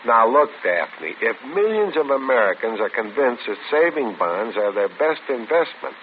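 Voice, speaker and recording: A man's voice: medium pitch, big pitch swings, normal speed, lightly acted. An actor playing an earnest young husband in a 1940s radio skit, eagerly arguing his point. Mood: persuading. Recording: tinny old radio broadcast with hiss.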